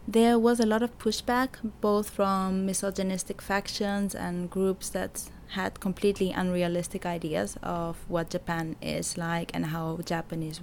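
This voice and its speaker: A woman raised in Barcelona, co-hosting a podcast in an earnest, measured way. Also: mid-range voice, vocal fry, darkish tone, a little hiss or hum. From Barcelona, Spain. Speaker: podcast co-host. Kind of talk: reading a podcast introduction.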